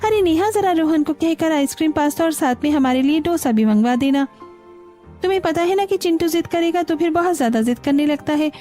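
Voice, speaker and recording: A woman's voice: sits high, brisk, warm tone, faint music behind, voice pushed up, lightly acted. A woman voicing a lazy, entitled young female houseguest in a cartoon story, whining and ordering others around. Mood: entitled, dismissive.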